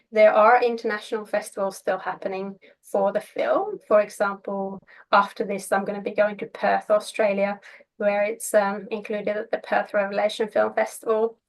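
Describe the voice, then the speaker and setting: Woman, native Finnish speaker, gravelly creak, medium pitch, casual interview talk. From Finland. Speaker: interview guest, filmmaker and actor.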